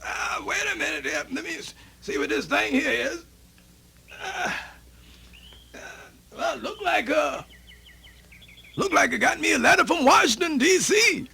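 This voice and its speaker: Creaky old country storyteller. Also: male voice, extremely high pitch, expressive, bright, forceful tone, heavily acted. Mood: curious, muttering. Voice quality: creaky, gravelly. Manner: stammering, muttering, drawn-out rustic talk. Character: folksy, cheerful old male master storyteller from the country. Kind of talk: radio theatre character acting.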